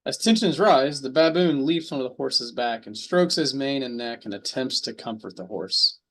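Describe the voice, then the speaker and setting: A man's voice: medium pitch, lively intonation, moderate pace. A man narrating an amateur radio drama table read in a plain storybook reading style.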